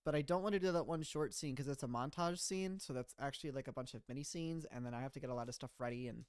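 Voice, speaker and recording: A man's voice: medium pitch, quick pace, clean tone, no echo, clean studio sound. Rambling, chatty young man. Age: mid-twenties. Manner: rambling, chatty, self-deprecating with frequent laughs. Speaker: streamer and animator. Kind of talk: casual livestream chat, reading chat.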